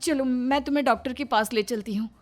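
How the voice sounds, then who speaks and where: Woman acting a naive, high-voiced caring daughter-in-law. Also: a little fast, soft background music, lightly acted. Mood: earnest, reassuring.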